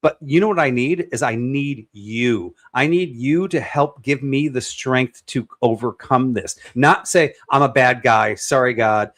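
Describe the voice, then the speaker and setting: A man on a panel speaks in an encouraging, coaching way, with a middling pitch. The recording is dry, with no room sound. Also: measured pace, few pauses, fairly bright tone, no room sound. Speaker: panel member. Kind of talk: casual panel talk.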